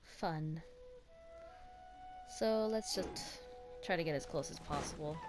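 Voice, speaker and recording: A woman's voice: sits low, slow, low-quality recording. Casual woman game streamer over music. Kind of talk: casual game reaction chat.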